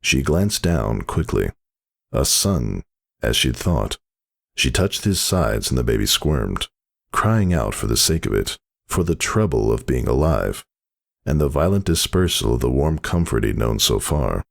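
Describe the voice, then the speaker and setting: Super deep male narrator, gravelly, really animated dramatic reading, theatrical. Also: measured pace.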